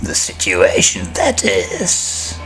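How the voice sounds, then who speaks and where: Deep, slow, ghoulish horror host. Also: male voice, huge pitch swings, heavily acted. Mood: wry. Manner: macabre, drawn-out, mock-sinister. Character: gleefully ghoulish old horror host, punning storyteller. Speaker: narrator. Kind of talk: theatrical horror anthology narration. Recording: roomy echo, distant, loud music underneath.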